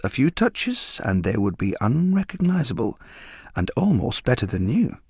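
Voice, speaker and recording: A man's voice: mid-range voice, very animated, moderate pace, darkish tone, husky, no echo, clean studio sound. A man reading a literary short story as a scripted narration.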